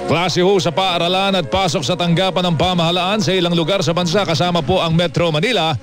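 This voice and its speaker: A man's voice: sits high, fairly even intonation, fairly quick, music in the background. A man anchoring radio news and reading scripted headlines.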